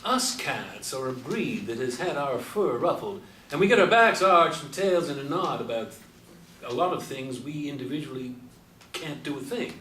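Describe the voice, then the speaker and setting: A man's voice: mid-range voice, lively intonation, moderate pace, fairly bright tone, natural voice. A man acting in a stage play as an aging, folksy Beatnik who speaks emphatically and in slang. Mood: fired up. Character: aging male Beatnik, folksy, fired-up old cat. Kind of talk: stage play scene reading. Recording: echoey room from far off, faint noise.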